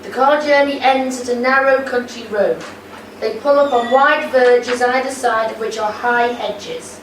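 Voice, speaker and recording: A woman's voice: on the high side, a little slow, roomy sound, recorded from a distance, slight background noise, low-quality recording. Woman reading stage directions. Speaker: narrator.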